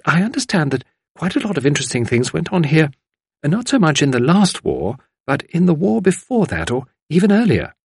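A man's voice: a little high, sing-song, brisk, creaky, a little mellow, breathy edge, no echo, studio quality, voice pushed up, full character voice. A man voicing a well-bred lady from a novel, tentative, courteous and inquisitive. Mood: tactful, probing.

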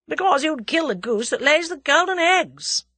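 A woman's voice: high-pitched, animated, normal speed, a bit bright, no echo, voice pushed up. A woman voicing a cool, cocky young Englishman, scornful and confident as he bluffs his captors in a story. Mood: calm, cocky.

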